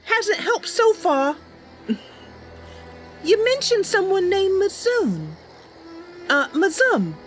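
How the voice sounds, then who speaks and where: A woman's voice: very high-pitched, big pitch swings, slow pace, pauses often, a bit bright, soft background music. A bubbly, chatty woman livestreamer talking casually and improvising roleplay as she plays a game. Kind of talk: casual live stream chat with improvised roleplay.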